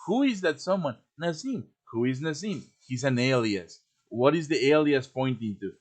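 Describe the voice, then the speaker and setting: A man hosting a casual step-by-step software tutorial on a live stream, thinking aloud informally as he works. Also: mid-range voice, expressive, moderate pace. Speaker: live stream host teaching quest making. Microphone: computer microphone on a live stream voice chat.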